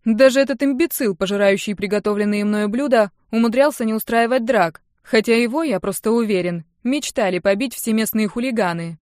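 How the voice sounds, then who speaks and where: A woman's voice: fairly high pitch, fairly quick, hardly any pauses, darkish tone, no echo, close to an everyday voice. A woman narrator acts out a touchy young uncle in an audiobook. He is sarcastic and bossy, firing off mocking retorts in a raised, emphatic voice, recorded very dry. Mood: sardonic.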